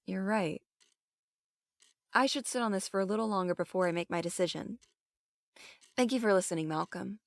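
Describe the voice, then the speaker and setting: A woman's voice: mid-range voice, moderate pace, creaky, long pauses, dry recording, close to an everyday voice. A woman playing a guarded, conflicted police captain, speaking informally and earnestly in an audio drama. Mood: softened, fond.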